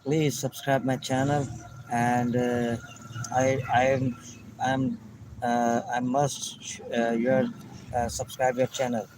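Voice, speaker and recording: A man with a Pakistani accent speaks slow, simple English in a casual chat, often repeating his greetings and thanks. His pitch is ordinary and his pace unhurried. He is outdoors in a rural spot, with faint birds and passing vehicles behind him. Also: little pitch movement, background noise. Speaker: vlogger chatting with strangers.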